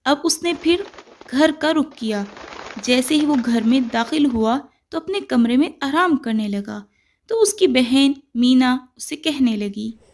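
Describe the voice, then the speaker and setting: High-pitched woman narrating a children's story. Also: lively intonation, a little slow.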